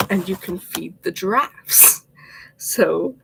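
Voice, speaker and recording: A man's voice: high-pitched, slow pace, creaky, heavy background noise. A sick, congested young man chatting casually while getting ready on a home livestream, rambling and often slipping into a whisper. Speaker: vlogger.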